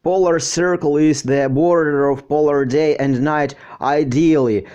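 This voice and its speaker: A man speaking English as a second language, explaining a science topic slowly with frequent pauses and fillers. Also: a little high, fairly even intonation, dark tone, no room sound, highs cut off. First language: not English. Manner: slow, hesitant, with frequent fillers. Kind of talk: unscripted educational explanation.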